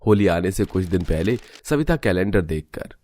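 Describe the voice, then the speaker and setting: Guy with a lowish voice narrating a storybook tale, scripted read. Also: lively intonation, normal speed, darkish tone, faint music behind.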